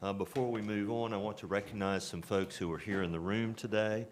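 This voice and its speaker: A man chairing a town board meeting in a measured, formal way. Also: lowish voice, steady tone. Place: town council chamber. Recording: noisy meeting room recording.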